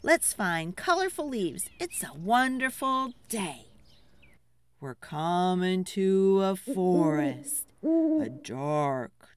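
A woman's voice: fairly low pitch, big pitch swings, extremely slow. A woman storyteller giving a dramatic, rhythmic picture-book read-aloud for children, theatrical and urging listeners to join in.

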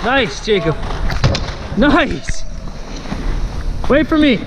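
A man's voice: very high voice, drawn-out words. A young man in his twenties chatting and joking on a vlog, filmed on an action camera in a big indoor mall. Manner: excitable, jokey, yells on rides. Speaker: vlogger. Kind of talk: casual vlog banter. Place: huge indoor mall with ski slope and rides. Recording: loud noisy mall ambience. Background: loud crowd and machine noise.

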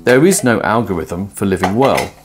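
A man in his forties talking to camera while cooking in a small home kitchen, conversational and musing, with lively emphasis. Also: on the low side, moderate pace, music in the background. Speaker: presenter of a cooking and philosophy video. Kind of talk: casual explanatory talk to camera while cooking. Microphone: lapel mic.

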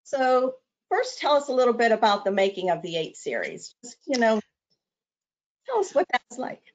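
A woman's voice: on the high side, big pitch swings, normal speed, lo-fi sound. A woman product trainer presenting a webinar in an upbeat, conversational way with some fillers.

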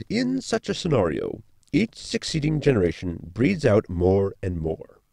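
A man's voice: on the low side, huge pitch swings, a little slow, gravelly, dark tone, no echo, studio quality, exaggerated voice. A man playing a polite, pedantic science robot in a sci-fi comedy audio drama, speaking formally and precisely. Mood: precise, explanatory.

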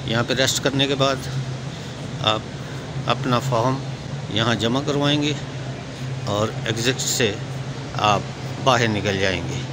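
A man's voice: mid-range voice, unhurried, pauses often. A man with a Pakistani accent giving a plain, step-by-step explanatory walkthrough as a guide. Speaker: vlogger and guide. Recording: very noisy, traffic and ventilation hum.

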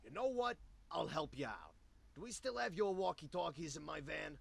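Gravelly old cartoon rabbit schemer. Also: male voice, on the high side, very animated, moderate pace, a little hiss or hum, exaggerated voice. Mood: dry, bossy. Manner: sweary, bossy, slangy. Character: grumpy foul-mouthed 63-year-old cartoon rabbit, scheming salesman, male. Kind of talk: voiced game dialogue.

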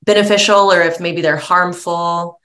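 A young woman interviewing over a video call, asking questions tentatively with many likes and ums, from a bright room at home. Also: fairly low pitch, fairly even intonation, moderate pace.

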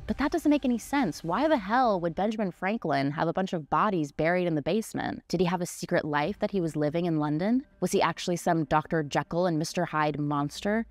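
A woman's voice: fairly low pitch, no echo. A woman in her twenties hosting a history show, giving scripted narration in a conversational, dramatic way with casual asides. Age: 20s. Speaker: host of a history mystery show. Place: small indoor studio set. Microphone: desk microphone, close. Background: music.

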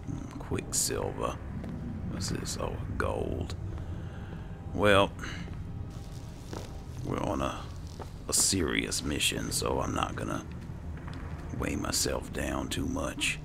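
Man, gameplay commentator, slow unhurried delivery, lively intonation, heavy background game ambience. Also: rumbling low, gravelly. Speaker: gaming channel host. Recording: noisy, game ambience throughout.

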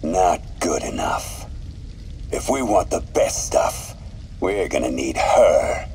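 An actor playing a menacing, calculating crime boss with a gravelly voice in a video game. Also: male voice, rumbling low, sing-song, takes it slowly, darkish tone, a little hiss or hum, heavily acted, low-quality recording. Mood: scheming.

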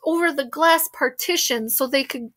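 A woman's voice: high-pitched, moderate pace, a bit bright. A woman reading a children's book aloud expressively as a storyteller. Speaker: read-aloud reader.

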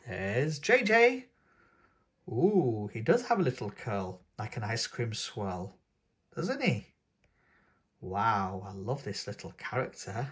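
A man with a husky, breathy voice reads a picture book to toddlers. His pitch swings widely as he coaxes the little ones along in a sing-song way, and he takes his time over each line. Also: big pitch swings, takes it slowly, fairly bright tone. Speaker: children's book reader and channel host. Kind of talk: reading a picture book aloud to young children. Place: indoors at a tabletop, hands showing a book.

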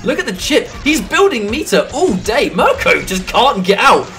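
A man with a sniffly cold, giving animated technical play-by-play on a fighting game match. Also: extremely high pitch, moderate pace, soft background music. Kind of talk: esports commentary.

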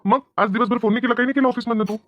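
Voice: medium pitch, steady tone, very fast, no echo, close to an everyday voice. An actor voicing an easygoing, caring young husband in a cartoon family drama, casual and mildly teasing, with muffled sound. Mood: casual, concerned.

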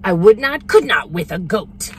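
Woman playing stubborn male picky eater, storybook character, sing-song, emphatic, grumpy, animated pitch. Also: moderate pace, a little hiss or hum, lightly acted. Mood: stubborn refusal. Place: inside a parked vehicle.

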